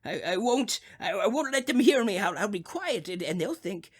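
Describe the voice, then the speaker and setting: Radio drama role, played by a woman: querulous, panicky invalid man, high swooping voice, slightly husky, stammering. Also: sing-song, normal speed. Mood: frightened. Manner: stammering, agitated, repeats words.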